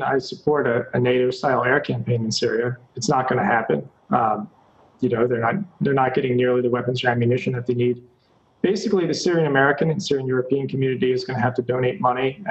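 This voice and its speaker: A man's voice: mid-range voice, steady tone, quick pace, highs cut off. A man, a journalist guest, answering firmly and directly. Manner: firm and direct. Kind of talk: interview answers.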